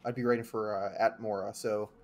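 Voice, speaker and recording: A man's voice: on the low side, little pitch movement, brisk, a crowd in the background. A young man streaming a game from his home room, chatting casually with plenty of fillers and restarts. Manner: rambling, many fillers and restarts. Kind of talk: casual chat while gaming.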